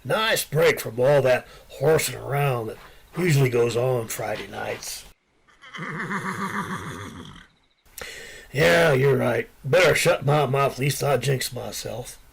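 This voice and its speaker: A man's voice: mid-range voice, creaky, a little hiss or hum. A man playing an easygoing, folksy Old West sheriff with a drawling cowboy way of talking in a radio western. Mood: easygoing, amused. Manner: folksy drawl, chuckling, unhurried cowboy talk. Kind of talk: radio western drama acting.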